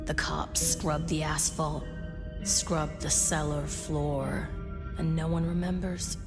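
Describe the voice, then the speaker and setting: A haunted young vampire woman from a video game. Her voice is on the low side and drifts along very slowly, cryptic and detached, as if not quite sane. Music plays clearly behind her. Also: little pitch movement, in a large hall. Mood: dreamy, cryptic. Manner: slow, riddling, detached delivery. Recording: background music under the voice.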